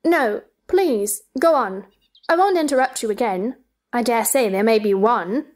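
A woman's voice: on the high side, a little slow, close to an everyday voice. A woman playing a curious, polite but argumentative young girl in a stage play reading, prim and questioning.

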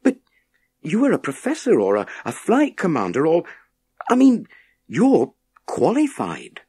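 A man voicing an eager, earnest young boy who speaks in a halting, urgent way. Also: fairly high pitch, wildly swooping pitch, darkish tone, husky, no echo, pitched above the performer's own voice, heavily acted. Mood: nervous, flustered.

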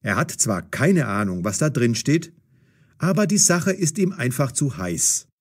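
A man narrating a scripted story for language learners in a deliberate, expressive, clearly enunciated way. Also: medium pitch.

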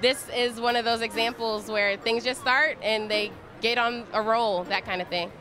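A young woman talks enthusiastically about her studies, her voice slightly high and bright in spirit. Clear background music runs under her words at an exhibition hall. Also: fairly even intonation, moderate pace. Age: young adult. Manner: upbeat, enthusiastic. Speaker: engineering student. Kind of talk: casual interview.

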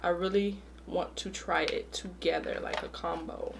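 A young woman with a slightly low voice hosts a casual eating show. She talks in short bits between bites. There is clear background noise in the room around her. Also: fairly even intonation, normal speed. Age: young adult. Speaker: mukbang host. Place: small room indoors at home.

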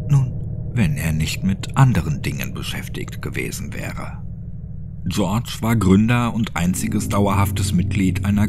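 Low-voiced guy reading a creepypasta like a documentary, lively intonation, music underneath. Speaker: horror story narrator. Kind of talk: scripted audiobook narration of a creepypasta. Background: background music.

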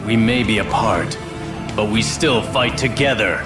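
Man as a low-voiced stoic young warrior. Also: a little slow, over loud music, close to an everyday voice. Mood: determined. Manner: terse, cool, restrained. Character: stoic, aloof young male warrior, quietly determined. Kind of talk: video game voice acting.

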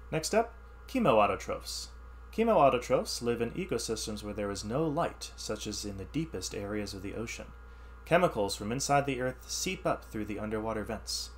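A man reading a science ebook aloud as a teacher, pausing to explain the text in simpler words. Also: on the low side, a little hiss or hum. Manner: reads text then explains in plain words. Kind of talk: read-aloud lesson with asides.

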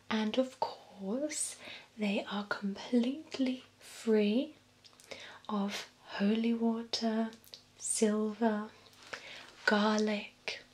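A young woman playing a calm vampire salon attendant in a soft, breathy, gentle ASMR whisper. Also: mid-range voice, poor audio. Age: young adult. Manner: slow, gentle, deliberate delivery. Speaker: ASMR performer. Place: small indoor room with bookshelves.

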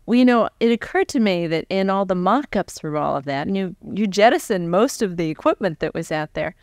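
An American woman in her forties asks questions as an interviewer. Her pitch is average and the sound is very dry, close on the microphone. She phrases things informally and sometimes restarts a sentence. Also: lively intonation, brisk, no echo. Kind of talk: conversational onstage interview. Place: stage before a live audience. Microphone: large windscreened microphone close in front.

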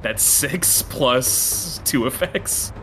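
A man's voice: medium pitch, animated, drawn-out words, poor audio. A man playing a tabletop game in casual banter, comically dramatic, swearing and often laughing. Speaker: player. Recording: music playing behind the voice. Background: music.

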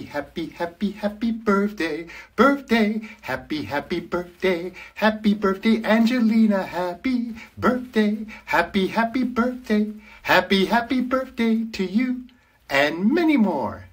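An actor voicing a big, friendly, gentle bear from a children's show, playful and affectionate, speaking in an exaggerated sing-song way to a child. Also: medium pitch, slightly rough, heavily acted. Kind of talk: children's show character giving a personal birthday greeting.

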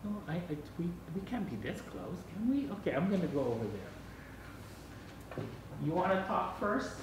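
An older man with a slightly high voice chats casually as he hosts a home gadget test. He is picked up from across a dining room, with plenty of room echo. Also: very animated, moderate pace. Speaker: vlog host. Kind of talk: casual chat while testing a gadget. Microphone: camera microphone across the room. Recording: echoey room, distant mic, faint noise.

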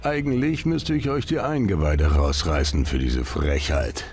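A man's voice: a bass voice, a little slow, a little mellow, faint music behind. A man playing a mocking, foul-mouthed gang boss in a game, with a condescending, sardonic drawl. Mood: amused menace.

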